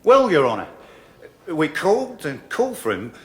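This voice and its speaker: A man's voice: fairly high pitch, huge pitch swings, moderate pace. An actor playing a chummy, jokey male friend who laughs a lot and later stammers nervously as a witness in a radio drama. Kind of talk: 1980s radio drama acting.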